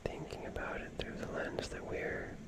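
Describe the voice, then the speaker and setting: A person musing reflectively in a soft, breathy, unvoiced ASMR whisper, leaving long pauses between phrases. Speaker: ASMR creator.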